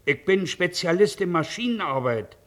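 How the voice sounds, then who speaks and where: An actor playing a stubborn, plain-spoken ex-convict shoemaker looking for work in an old German radio play. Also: male voice, high-pitched, animated, normal speed. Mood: frank, hopeful. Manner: plain-spoken, unbowed, working-class directness.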